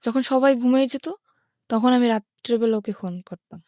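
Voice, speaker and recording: High-voiced Bangladeshi woman telling her story. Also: normal speed, darkish tone, no echo, clean studio sound. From rural Bangladesh. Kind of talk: personal life-story narration in a radio interview.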